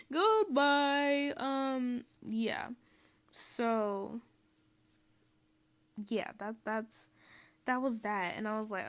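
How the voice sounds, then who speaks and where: Rambling young woman vlogger. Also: a little high. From Mexico. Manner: rambling, lots of "um" and "like", quick laughs. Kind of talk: casual chatty voiceover.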